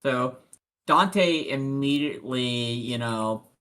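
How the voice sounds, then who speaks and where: A man's voice: mid-range voice, slow, bright, forceful tone. A man talking casually as a tabletop player, describing what his character does. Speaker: player in a tabletop roleplaying game. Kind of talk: casual game chat, describing a character's actions.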